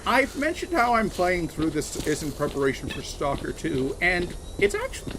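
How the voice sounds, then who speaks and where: A man chatting casually on a game livestream, talking in a halting, rambling way with many restarts and fillers. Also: high-pitched, huge pitch swings, background noise. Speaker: streamer. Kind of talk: casual livestream chat while gaming.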